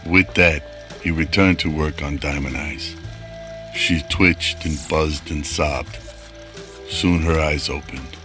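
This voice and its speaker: Very deep-voiced male narrator. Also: steady tone, takes it slowly, music in the background. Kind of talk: scripted radio drama narration.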